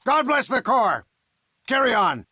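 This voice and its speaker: An actor playing a Marine commanding officer, solemn and proud, addressing battle-weary men in a measured, oratorical war game speech. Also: male voice, very high voice, big pitch swings, bright, forceful tone, no room sound, studio quality, exaggerated voice. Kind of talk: scripted game cutscene speech.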